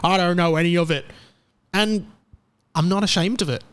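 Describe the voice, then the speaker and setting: A man's voice: high voice, normal speed, fairly bright tone, clean tone. An Australian man in his thirties hosting a casual solo podcast, rambling and self-mocking with lots of fillers and restarts. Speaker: podcast host.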